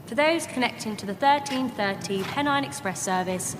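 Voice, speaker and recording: A woman's voice: on the high side, normal speed, noisy background, lightly acted. A woman playing a formal railway station announcer in an audio drama, giving public address announcements. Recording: long station-hall reverb.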